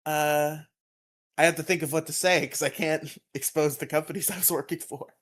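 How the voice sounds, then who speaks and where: A man with a fairly high voice, co-hosting a podcast in casual chat, laughing often, on a headset mic. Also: steady tone, normal speed, a bit bright. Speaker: podcast co-host.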